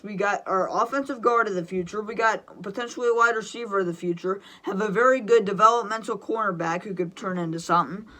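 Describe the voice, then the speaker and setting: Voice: mid-range voice, bright, forceful tone. An enthusiastic, opinionated fan host giving mock draft commentary on football.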